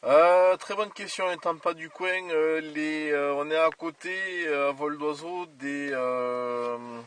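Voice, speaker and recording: A man working as an amateur radio operator, chatting casually in a hesitant, halting way. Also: on the high side, fairly bright tone, clean tone. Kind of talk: casual radio contact chat. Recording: voice distant from the camera microphone.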